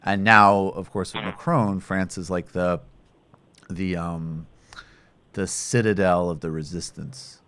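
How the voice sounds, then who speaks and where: A male host with a very low voice leads a political interview. He asks long, rambling questions in an animated, sardonic tone. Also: takes it slowly, smooth.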